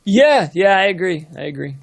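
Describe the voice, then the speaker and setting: A man's voice: high-pitched, very animated, moderate pace, vocal fry. A man co-casting an esports stream, easygoing and agreeable, often repeating words while he thinks. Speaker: guest co-caster. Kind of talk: casual esports commentary.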